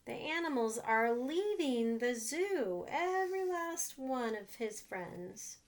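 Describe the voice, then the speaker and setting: A woman reading a picture book aloud to children, gently pausing to ask them questions about the pictures. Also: fairly high pitch, sing-song.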